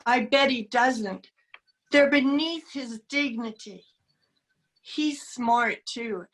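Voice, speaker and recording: A woman's voice: high voice, a bit bright, exaggerated voice, rough recording. A woman playing a naive, eager young boy in a radio play, chatting with wide-eyed earnestness.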